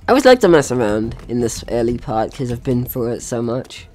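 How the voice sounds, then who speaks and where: A man's voice: mid-range voice, very animated, a little fast, a little mellow, a little hiss or hum. A man doing casual let's play commentary, with playful asides and game text read aloud. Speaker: gaming video host.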